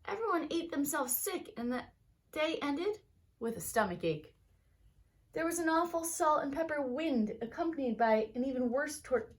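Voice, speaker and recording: A woman reading a picture book aloud for children in an expressive storytelling manner, with short explanatory asides to young listeners. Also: sits high.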